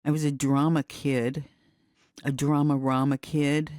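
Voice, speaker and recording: A woman's voice: low voice, flat delivery, measured pace, a little mellow, no echo. A woman from the United States reflecting in a documentary interview, thoughtful and emphatic. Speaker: interviewee, radio drama producer. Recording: dry, faint music behind.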